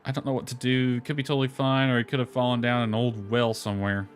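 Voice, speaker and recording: A man's voice: mid-range voice, little pitch movement, fast. A man giving casual game commentary, reading dialogue aloud with offhand asides. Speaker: gamer commenting on own playthrough. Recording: lo-fi music playing behind. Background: background music.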